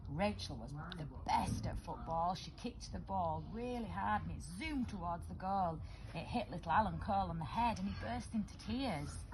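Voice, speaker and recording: A woman reading a picture book aloud to young children in an expressive, animated way, acting out the dialogue. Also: lowish voice, moderate pace, background noise.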